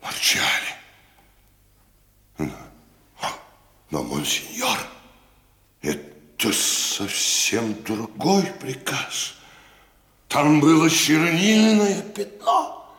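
An actor playing an obsequious, fussy prison governor in a radio drama, expressive and halting. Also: male voice, on the high side, full character voice. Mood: flustered, alarmed. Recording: echoey reverberant hall sound.

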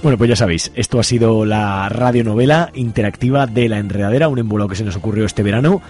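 Spanish guy from spain accent, jokey radio host, talks quick, lowish voice, music under him. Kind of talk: informal, jokey radio presenting.

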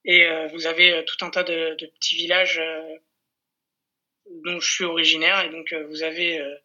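A man's voice: fairly high pitch, monotone, normal speed, sharp tone, lo-fi sound. A man from Corsica, a football coach, explaining things conversationally in a didactic way as an interview guest. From Corsica, France.

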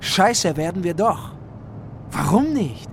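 Ein Mann spielt einen großmäuligen jungen Ganoven, höhere Stimme. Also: male voice, high voice, big pitch swings, takes it slowly, creaky, slight background noise, voice pushed up, full character voice. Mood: defiant, scornful. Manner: brash, sneering, slangy. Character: cocky, foul-mouthed young small-time male thief.

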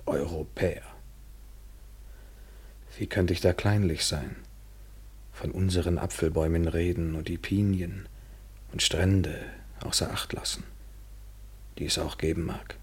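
An actor playing a cynical yet tender, evasive lover in a literary German radio play, speaking with measured irony and a husky voice. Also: male voice, low voice, fairly even intonation, full character voice. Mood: wry, reflective. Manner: measured, ironic, articulate.

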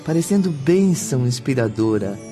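An actor with a Brazilian accent playing a reflective male spirit narrating afterlife memories in a literary, deliberate radio drama style. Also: medium pitch, very animated, close to an everyday voice. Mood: reverent wonder. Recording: loud music bed behind voice.

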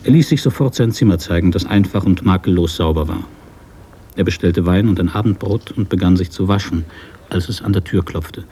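Very low, mellow male narrator. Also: fairly quick, husky. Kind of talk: scripted literary narration for radio drama.

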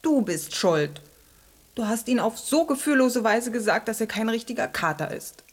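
A woman's voice: on the high side, lively intonation, normal speed. A woman playing a touchy, sensual female cat in a radio drama, purring and sulky in a dramatic way. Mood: hurt, angry.

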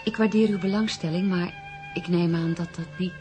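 An actress playing a polite, sensitive young female teacher in a radio drama, speaking in an earnest, soft way. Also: lowish voice, steady tone, normal speed, natural voice. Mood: polite, curious. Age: young adult. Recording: radio play with music underneath.

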